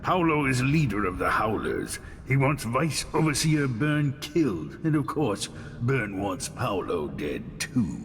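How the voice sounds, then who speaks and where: Gravelly lowish male voice, weary gruff ally, low-key game mission briefing, long reverb, noisy ambience. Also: sing-song, a little slow. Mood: matter-of-fact.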